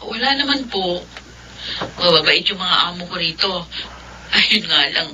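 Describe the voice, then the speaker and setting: A woman's voice: on the low side, animated, measured pace, bright, forceful tone, dull audio, slight background noise, exaggerated voice. A woman playing a dutiful, worried mother working overseas in a radio drama, earnest and anxious. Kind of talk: melodramatic radio drama acting.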